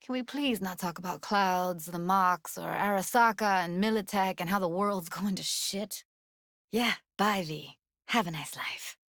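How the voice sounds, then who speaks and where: A woman's voice: medium pitch, unhurried, fairly bright tone, no room sound, studio quality. An actress playing a tough but tender young techie woman in a video game, speaking in a casual, clipped, slangy way. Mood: bitter, weary. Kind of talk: naturalistic video game dialogue.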